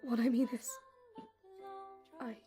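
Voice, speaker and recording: A woman's voice: on the high side, level tone, slow pace, mellow, soft background music. A woman playing a modest, vulnerable young assistant who speaks hesitantly and adoringly in an audio drama. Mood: emotional, faltering. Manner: hesitant, self-effacing.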